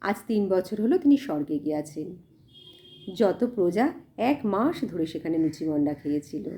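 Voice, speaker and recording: A woman's voice: lowish voice, big pitch swings, moderate pace, warm tone. A woman voicing a humble, loyal village cowherd man in an audio story, simple, deferential and eager to help. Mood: informative, simple.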